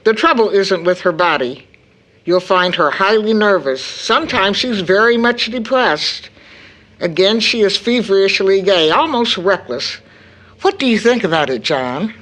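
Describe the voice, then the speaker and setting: A woman playing a worried, caring mother in an audio drama, speaking in a measured, earnest way. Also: mid-range voice, expressive, fairly bright tone. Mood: concerned. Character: worried, caring mother recounting her daughter's breakdown.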